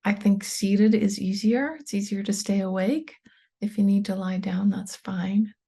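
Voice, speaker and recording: A woman leading a guided meditation, speaking softly and slowly, often close to a whisper. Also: mid-range voice, steady tone, vocal fry, breathy edge. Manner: soft, slow and soothing, often near a whisper. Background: soft meditation music with singing bowl tones.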